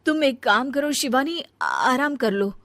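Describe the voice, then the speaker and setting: A woman voicing a dutiful, sensible elder daughter-in-law in a cartoon family drama, earnest, expressive and chiding. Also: high-pitched, a little slow, no echo, heavily acted. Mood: gently reassuring.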